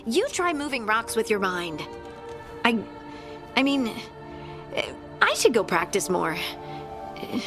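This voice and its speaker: A woman's voice: a little high, heavily acted. A woman playing an earnest, awkward, cheerful young scout with magic in a video game, bashful and a little hesitant. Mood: flustered. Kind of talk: naturalistic video game voice acting. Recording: game music behind the voice. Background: game music.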